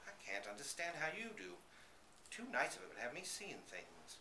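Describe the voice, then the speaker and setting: A nervy young city barman in a storybook, played by a man, grumbles in plain words. His voice is bright and jumpy in pitch, recorded live in a roomy space. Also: huge pitch swings, moderate pace, heavily acted. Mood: uneasy. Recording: roomy live reading, slight background noise.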